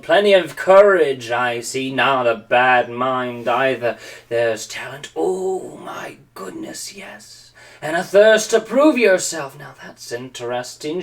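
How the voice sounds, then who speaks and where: Man doing a theatrical old talking hat, high, slow and lively, a bit off-mic. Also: recorded from a distance. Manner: drawn-out, booming calls, then musing and sly. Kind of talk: home read-aloud of a storybook.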